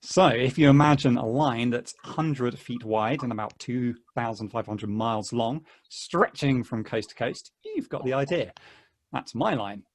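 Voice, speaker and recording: A man's voice: mid-range voice, animated. Man acting an earnest, friendly, chatty hiker. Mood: friendly, enthusiastic. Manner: halting, polite, chuckly, eager. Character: earnest, chatty, friendly male hiker, eager to befriend everyone. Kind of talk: stage play table read.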